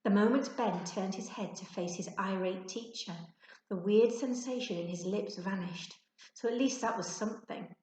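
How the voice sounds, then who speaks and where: A woman giving an expressive children's storybook narration, like a teacher reading to her class. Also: mid-range voice, normal speed. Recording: echoey untreated room.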